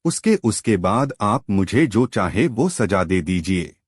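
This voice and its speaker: A man voicing a loyal, calm male royal minister in a storybook tale, speaking earnestly. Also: lowish voice, slow, breathy edge, dry recording, clean studio sound, heavily acted. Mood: pleading.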